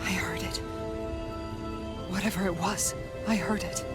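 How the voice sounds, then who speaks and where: A woman's voice: fairly low pitch, fairly even intonation, unhurried, over loud music, lightly acted. A woman playing a young, level-headed, observant adventurer in a fantasy video game cutscene. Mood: hushed, serious. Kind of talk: English dubbed video game cutscene acting.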